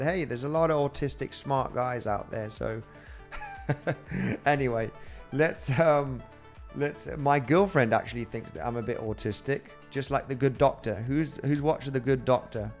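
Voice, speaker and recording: A man with a Spanish accent, a crypto trading host chatting casually on a livestream and reading out viewer comments in a rambling way. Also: mid-range voice. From Spain. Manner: rambling and chatty, with frequent fillers and laughs.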